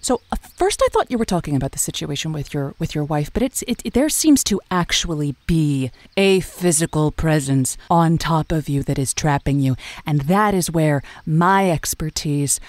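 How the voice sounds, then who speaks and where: A woman's voice: low voice, lively intonation, moderate pace, few pauses, darkish tone, no echo. A woman playing a radio advice host, wry and frank, with hesitant reassurance in a call-in drama. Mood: concerned, careful.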